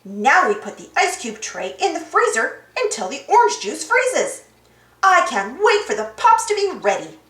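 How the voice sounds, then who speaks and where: A woman reading a children's storybook aloud in an animated, exaggerated, sing-song way for young children. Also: high-pitched, moderate pace. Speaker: storybook reader. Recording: roomy echo.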